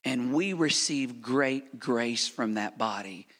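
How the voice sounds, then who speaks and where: A bright, forceful male preacher from the United States delivers a heartfelt missionary sermon in a church sanctuary. His voice echoes with a long reverb and swings from ringing appeals down to near-whispered lines. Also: medium pitch, slow. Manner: passionate, preacherly, swings from loud to hushed. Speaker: missionary pastor. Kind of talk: sermon with a prayer. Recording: reverberant hall.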